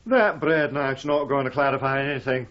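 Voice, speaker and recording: An actor playing an indignant, flustered middle manager in a radio drama, spluttering and officious. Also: male voice, medium pitch, normal speed, lightly acted. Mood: alarmed, indignant. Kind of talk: 1980s British radio drama acting. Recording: slightly dull archive radio recording.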